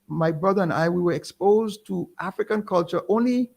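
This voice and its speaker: A middle-aged man, explaining things slowly and emphatically as an interview guest, often checking in with "right?". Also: high-pitched, creaky, a little mellow. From Caribbean. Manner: deliberate, emphatic, explaining with pauses and tag questions. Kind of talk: conversational interview.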